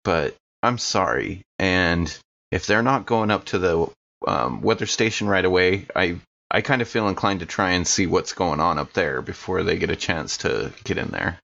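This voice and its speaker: Low-voiced American guy, slightly dull audio. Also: animated, moderate pace, creaky, a bit bright. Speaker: tabletop game player. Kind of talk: casual in-game chat.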